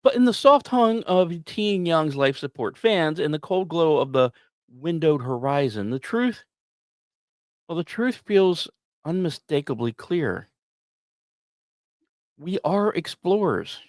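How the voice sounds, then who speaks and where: A man hosting a podcast, delivering scripted storytelling narration with dramatic emphasis and deliberate pauses. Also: on the high side, expressive, a little mellow, no room sound, studio quality. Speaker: podcast host.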